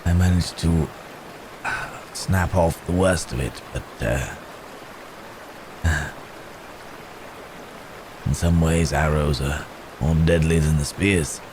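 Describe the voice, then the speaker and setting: A man playing a wounded, courteous werewolf in an intimate ASMR roleplay, halting and strained with pain, with old-fashioned polite phrasing. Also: rumbling low, fairly even intonation, background noise. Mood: weary, grave. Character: wounded, weary, courteous male werewolf hunted by men.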